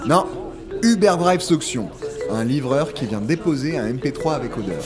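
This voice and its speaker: An earnest man pitching a silly project as an actor plays him in an audio comedy, in a medium-pitched voice with music behind. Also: lively intonation, moderate pace, lightly acted. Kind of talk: comedic audio drama acting.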